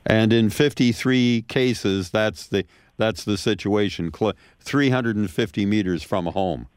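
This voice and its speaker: A man's voice: fairly low pitch, measured pace, clear, resonant tone, dry recording, clean studio sound. A man hosting a radio interview, asking probing questions and agreeing often with his guest. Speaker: radio host.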